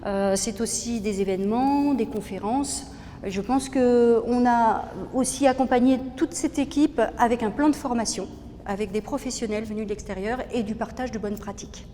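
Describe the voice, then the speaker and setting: A woman explaining in an interview, pausing often with hesitant ums as she presents her work. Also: steady tone, dark tone. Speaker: music school representative. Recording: very reverberant room, faint rumbling noise.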